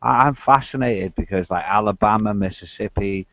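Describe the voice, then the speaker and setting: A man's voice: on the low side, normal speed, a bit bright, muffled recording, a little hiss or hum. A man with a northern English accent chatting casually in an online hangout, easygoing and agreeable. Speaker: panelist in an open online hangout. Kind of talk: casual chat. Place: Wales.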